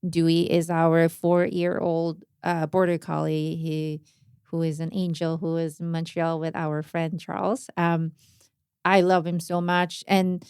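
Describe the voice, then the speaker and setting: A woman's voice: deep voice, little pitch movement, measured pace, a little mellow, smooth, no echo, studio quality. A woman from Korea, a native Korean speaker, chatting casually in an interview as a jazz drummer, with many fillers and non-native phrasing.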